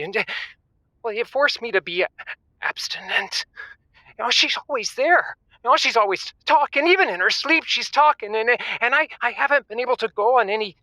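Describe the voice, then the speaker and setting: Jittery frustrated bachelor phoning a radio show, stammers a lot, tense and bright, really dry sound. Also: male voice, sits high, dry recording, rough recording. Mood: embarrassed. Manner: stammering, flustered, halting. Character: nervous sexually frustrated bachelor calling a radio advice show. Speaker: caller. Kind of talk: comedic audio drama radio call-in.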